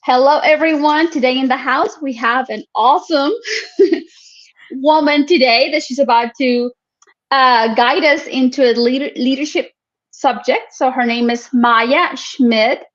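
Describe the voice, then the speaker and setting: A woman's voice: sits high, steady tone, a little slow, highs cut off. A woman hosting a live-streamed show, introducing her guest with enthusiasm and laughing between phrases. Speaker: show host.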